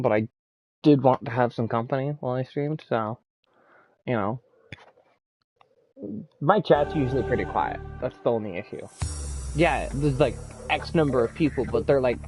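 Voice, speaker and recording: A man's voice: mid-range voice, normal speed, long pauses, darkish tone, faint music behind, poor audio. A man streaming a game and chatting casually with friends, often stumbling and repeating his words. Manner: stammers and repeats words, lots of fillers. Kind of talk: casual live-stream chat with party friends.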